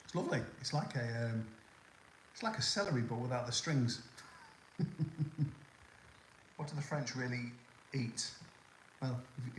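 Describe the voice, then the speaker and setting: A man's voice: mid-range voice, echoey room, a little hiss or hum, poor audio. An older man with a toned-down northwest English accent from the Liverpool area hosts a casual live chat, rambling and stopping often to fill gaps with "um" as he answers viewer questions. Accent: toned-down Northwest English (Scouse). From Liverpool area, England. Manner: rambling and chatty with many ums and restarts. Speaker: livestream host.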